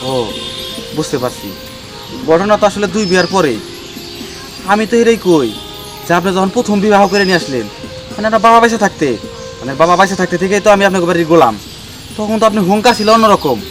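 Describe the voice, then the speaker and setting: Man as fast-talking, high-voiced Bangladeshi servant. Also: animated, over music. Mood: curious. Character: deferential but meddling, cowardly household servant. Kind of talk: Bengali comedy drama acting.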